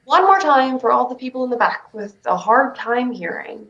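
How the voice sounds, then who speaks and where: A woman's voice: high voice, expressive, moderate pace, a bit bright, muffled recording. A woman playing an anxious, insistent young girl in a staged play reading, earnest and protective. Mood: firm, reassuring. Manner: earnest, flustered, pressing.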